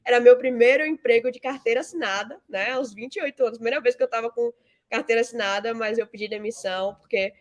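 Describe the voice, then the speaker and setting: A woman's voice: on the high side, quick pace. A woman, giving conversational, explanatory interview answers peppered with tag questions. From Salvador, Bahia, Brazil. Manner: frequent 'né' tags, explanatory. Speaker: interview guest, fintech founder and CEO.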